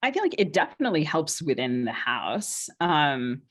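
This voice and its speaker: A woman's voice: sits low, very animated, normal speed. A woman novelist co-hosting a podcast, talking casually in a hesitant, discursive way with plenty of "like".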